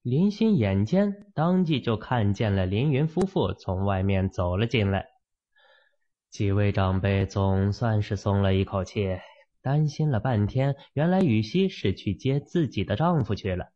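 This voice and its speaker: Male narrator for dramatic fiction audiobook, lower register, dull lo-fi sound. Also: animated, unhurried, dark tone.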